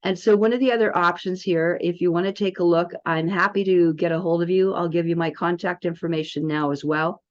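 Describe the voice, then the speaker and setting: A woman giving an informal software demo as a practitioner, in a chatty, rambling, salesy manner. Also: on the low side, steady tone, a little fast, few pauses. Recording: dull, muffled lo-fi sound.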